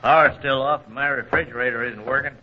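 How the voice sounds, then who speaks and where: A man's voice: mid-range voice, steady tone, fast talker, lightly acted. A man playing a worried, terse storekeeper in a 1940s radio drama, folksy and plain. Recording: muffled vintage radio.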